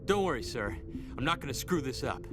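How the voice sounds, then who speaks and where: A man's voice: medium pitch, big pitch swings, brisk, music in the background, natural voice. An actor playing an eager, restless young male corporal with an earnest manner in a video game. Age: young adult. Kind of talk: video game cutscene acting.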